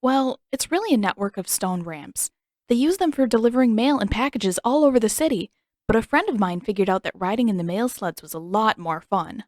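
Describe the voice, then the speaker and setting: A woman voicing an excitable, playful young boy in a read-aloud fan story. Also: on the high side, fairly quick, no echo, clean studio sound, lightly acted. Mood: eager. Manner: bright, excited, enthusiastic.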